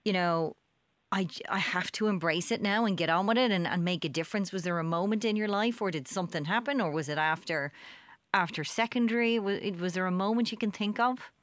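A woman hosting a live radio interview, chatty and halting with frequent fillers. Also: fairly low pitch, no echo, studio quality. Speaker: radio host. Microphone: studio microphone.

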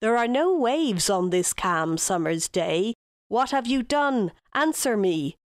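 A woman's voice: fairly low pitch, sing-song, takes it slowly, dry recording, clean studio sound. A woman voicing an impassioned king, a devoted father full of grief, in a rhyming storybook tale. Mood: angry. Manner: impassioned, accusing. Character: grieving, angry old-world king and devoted father.

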